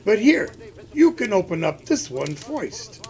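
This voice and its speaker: A man playing a warm-hearted, folksy husband who acts as Santa in an amateur radio play. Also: sits high, sing-song, a little slow, noisy background, full character voice. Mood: kindly, jolly. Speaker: actor in an amateur audio play.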